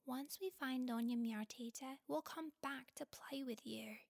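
A woman voicing a gentle, thoughtful female bird who speaks in a soft, hushed whisper. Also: sits high, lively intonation, a little slow, dry recording, clean studio sound, voice pushed up, exaggerated voice.

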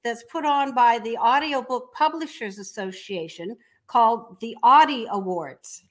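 A woman's voice: fairly high pitch, unhurried. A woman speaking as an entrepreneur guest, explaining her work conversationally in an interview.

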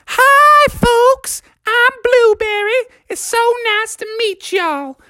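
A sweet lady bear wife from a storybook, as a narrator puts her on, with a very high, squeaky voice and a friendly drawl. The voice sits close to the mic in a dry room. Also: expressive, takes it slowly, bright, forceful tone, no room sound, heavily acted.

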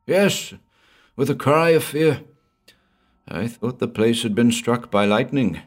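A man voicing a big, strong man over sixty, hoarse and shaken with terror. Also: medium pitch, animated, takes it slowly, pitched above the performer's own voice. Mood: frantic. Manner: frantic, pleading.

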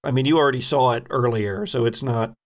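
Gravelly man narrating as game master. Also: mid-range voice. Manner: halting, searching for words. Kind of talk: improvised tabletop game narration.

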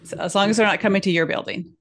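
A woman's voice: mid-range voice, steady tone, racing. A woman hosting a dental podcast, upbeat and chatty, joking with her guest. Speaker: podcast host, dental hygienist turned consultant.

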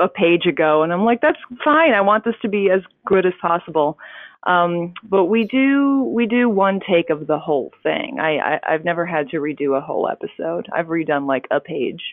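A woman's voice: on the low side, normal speed, dry recording. A woman whose first language is Russian, a voice actor and narrator, chatting casually in an interview with plenty of fillers and restarts. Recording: tinny phone-like line.

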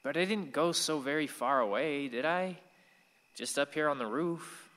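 An actor playing a gentle, earnest, watchful grandson in a radio play. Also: male voice, a little high, moderate pace, close to an everyday voice. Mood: reassuring. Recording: long hall-like reverb.

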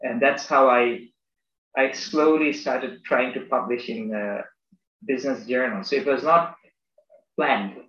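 Academic man from Bangladesh, reflective and halting, echoey lo-fi room. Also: mid-range voice, a bit bright. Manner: thoughtful, halting, many restarts and fillers. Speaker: business school academic. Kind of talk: conversational interview.

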